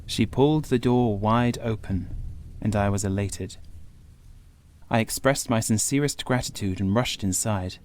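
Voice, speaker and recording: A man reading a scripted first-person gothic horror story in a measured, literary manner. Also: on the low side, darkish tone, a little hiss or hum. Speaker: audiobook narrator.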